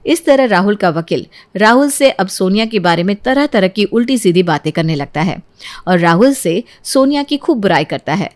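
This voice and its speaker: A woman's voice: medium pitch, expressive, normal speed, a little mellow. Woman narrating a scripted story.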